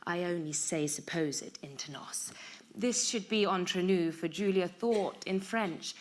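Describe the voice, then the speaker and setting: A woman's voice: fairly low pitch, unhurried, a little hiss or hum. A woman reading narrative poetry aloud as a performance, with a wry, knowing storyteller's delivery. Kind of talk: dramatic poetry recital.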